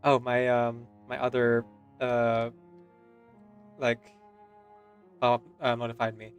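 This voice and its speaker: A man's voice: mid-range voice, completely flat delivery, takes it slowly, clean tone, lo-fi sound, faint music behind. A man with a Filipino accent chatting casually on a stream, easygoing and chuckling, with lots of fillers.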